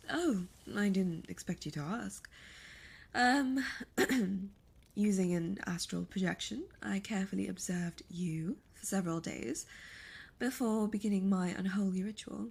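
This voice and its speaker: A woman voicing a seductive, imperious and gloating succubus demon in an ASMR audio roleplay, breathy and often lapsing into whispers, with a theatrical, condescending delivery. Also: mid-range voice, a little mellow. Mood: gloating, coy.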